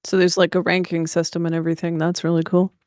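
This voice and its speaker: High, smooth-voiced man in casual chat. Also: completely flat delivery, quick pace, darkish tone, no echo, studio quality. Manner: curious, agreeable listener. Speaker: podcast co-host.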